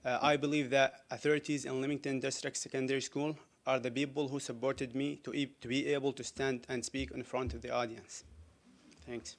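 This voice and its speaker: A teenage boy from Syria introducing himself in a soft, hesitant way with fillers. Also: medium pitch, level tone, brisk. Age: teens. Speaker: student presenter.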